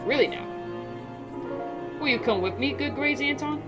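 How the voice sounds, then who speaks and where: A sly, teasing fox girl in a game, coaxing and a little mocking, as a woman reads her lines. She pitches it up into a light, playful tone. Clear music plays underneath. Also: moderate pace, natural voice. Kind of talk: reading game dialogue aloud.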